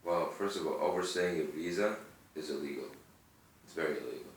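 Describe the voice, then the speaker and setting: A man's voice: rumbling low, fairly quick, slight background noise. A man from Germany in casual conversation, rambling and telling stories. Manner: rambling, digressive storytelling. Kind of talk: casual chat. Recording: echoey room, far from mic.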